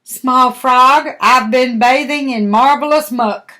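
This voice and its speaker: A woman's voice: high voice, slow pace, sharp tone, voice pushed up, exaggerated voice. Older woman reading as a kind, sniffy storybook hog. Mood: matter-of-fact. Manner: matter-of-fact, sniffy. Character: kind mud-loving male hog in a storybook.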